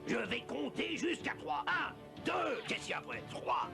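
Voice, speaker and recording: An actor playing a sly, hungry big bad wolf in a cartoon, gravelly and theatrical, wheedling and blustering. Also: male voice, very high voice, lively intonation, normal speed, full character voice. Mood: threatening, impatient. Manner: theatrical, mock-polite wheedling turning to threats. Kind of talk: exaggerated cartoon dub acting. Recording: music behind the voice.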